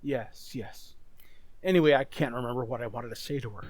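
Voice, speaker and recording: A man playing a confused, forgetful, apologetic husband with halting speech in a radio mystery. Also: mid-range voice, background noise, lightly acted. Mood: bewildered. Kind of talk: old radio mystery acting.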